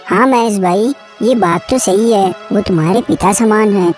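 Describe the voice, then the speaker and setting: A woman's voice: mid-range voice, normal speed, a little mellow, faint music behind, lightly acted. A woman voicing a trusting, hardworking male farmer, earnest and obliging, in a cartoon moral story. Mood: reassuring.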